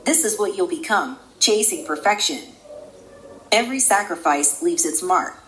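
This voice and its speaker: A woman playing the calm, ominous, enigmatic guide of a virtual simulation in a radio drama, speaking in a measured, solemn way. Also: fairly low pitch, a little hiss or hum.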